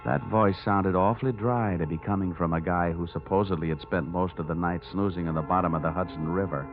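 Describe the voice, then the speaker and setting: Here is a sardonic private investigator from a golden-age radio drama. His voice sits low and he narrates with dry wisecracks. The sound is thin and tinny like an old broadcast, with music playing behind him. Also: steady tone, a little fast. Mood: wry, sardonic. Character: wry, hard-boiled male insurance investigator narrating his case. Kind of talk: 1940s-50s radio noir narration and acting.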